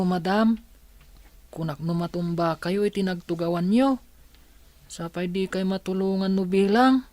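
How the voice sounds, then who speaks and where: A woman's voice: deep voice, darkish tone. A woman with a Filipino accent playing a caring, polite young helper in a radio drama. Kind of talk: Ilocano radio drama acting.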